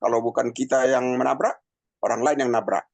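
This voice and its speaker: A man in his fifties giving a personal testimony in a halting, conversational way, with many pauses and fillers. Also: medium pitch, gravelly, no echo. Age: 50s. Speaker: testimony speaker.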